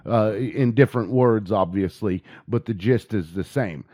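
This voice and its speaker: An American man talking informally and giving his opinions in a halting way, repeating words as he goes. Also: fairly low pitch, mellow, dry recording, clean studio sound. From United States. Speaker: video blogger and activist. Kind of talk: informal opinion monologue and phone inquiry.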